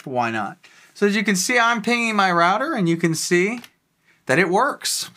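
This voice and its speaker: A tech video host giving a casual, rambling walkthrough of a software tool, thinking aloud while clicking. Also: medium pitch, big pitch swings, normal speed.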